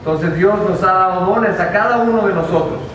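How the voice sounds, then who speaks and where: A man's voice: high-pitched, fairly quick, fairly bright tone. Guy interpreting a sermon live, far off in a big roomy hall, noisy. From Mexico. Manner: earnest, with restarts while interpreting. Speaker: interpreter and minister. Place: large dim auditorium. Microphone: handheld mic, far camera.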